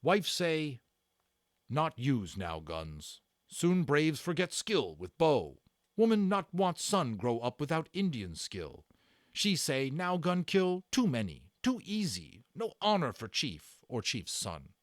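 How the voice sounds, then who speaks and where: Man voicing a stern, proud, loud-spoken warrior chief. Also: on the high side, huge pitch swings, slow pace, no echo, studio quality, voice pushed up, heavily acted. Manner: clipped broken grammar, weighty and declarative. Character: proud, stern male warrior chief, bitter yet honorable. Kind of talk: dramatic storybook character reading.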